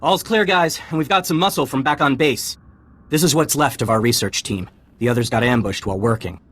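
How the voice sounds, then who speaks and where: A man playing a fast-talking army lieutenant. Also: medium pitch, a bit bright, close to an everyday voice. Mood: urgent. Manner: urgent military commands. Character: brisk male Republic army lieutenant. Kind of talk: game cutscene acting.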